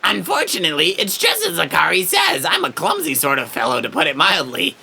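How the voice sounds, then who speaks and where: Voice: medium pitch, wildly swooping pitch, a little fast, gravelly, pushed and bright, breathy edge, heavily acted. A performer voicing a clumsy, cheerful professor in a video game, with jovial, old-fashioned genteel phrasing over music. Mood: sheepish, upbeat. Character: clumsy, cheerful, courteous scholar professor, adult man. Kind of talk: voiced video game dialogue.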